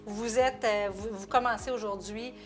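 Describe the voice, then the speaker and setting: A woman's voice: mid-range voice, fairly even intonation, normal speed, soft background music. A woman with a Québécois French accent hosting a TV interview, chatty and full of hesitations and restarts. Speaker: TV host. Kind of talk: casual interview.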